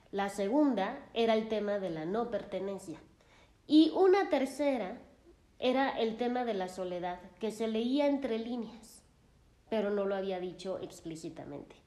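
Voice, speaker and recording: A woman therapist who hosts a podcast and narrates a story from her sessions in a calm, reflective way. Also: medium pitch. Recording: roomy echo.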